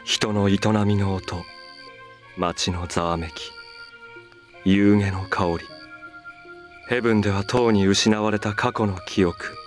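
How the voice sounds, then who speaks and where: An actor playing a serene, weary, ancient master in a video game cutscene, speaking in a measured, soft-spoken, reflective way. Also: male voice, fairly low pitch, fairly even intonation, close to an everyday voice. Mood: solemn, reflective. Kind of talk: dramatic game cutscene acting. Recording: game music behind the voice.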